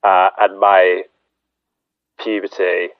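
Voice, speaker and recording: A man's voice: sits low, level tone, takes it slowly, no echo. A man, chatting in a rambling, self-deprecating way full of fillers. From England, near Sheffield. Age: 30s. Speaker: podcast guest. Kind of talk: casual chat. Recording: dull lo-fi sound.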